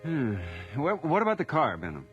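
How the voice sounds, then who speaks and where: A man's voice: on the high side, huge pitch swings, moderate pace, a bit bright, muffled recording, faint music behind. A man acting in a radio drama as a weary small-town police chief with a brusque, sardonic manner.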